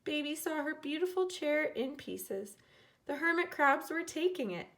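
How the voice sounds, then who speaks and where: Expressive woman reading a storybook, very lively pitch. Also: measured pace. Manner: expressive, dramatizing characters' lines. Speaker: teacher reading aloud. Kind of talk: storybook read-aloud for children.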